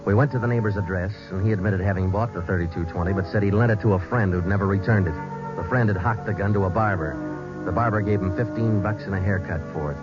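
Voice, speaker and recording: A man's voice: on the low side, fast talker, lightly acted. An American man playing a stoic police detective in a 1940s radio drama, narrating in a clipped, matter-of-fact way. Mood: deadpan. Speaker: lead actor and narrator. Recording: muffled old radio broadcast with music.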